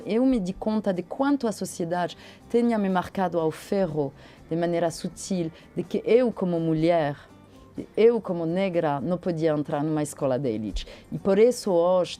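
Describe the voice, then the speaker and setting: A woman's voice: medium pitch, dark tone. A woman from France with a French accent, a guest on a radio morning show, speaking reflectively with frequent hesitations. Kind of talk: radio interview.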